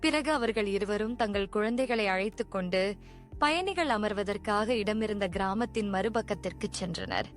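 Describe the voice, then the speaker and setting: A woman narrates a children's cartoon story in an even, measured storytelling voice. Her pitch sits mid-range, and soft music plays behind her.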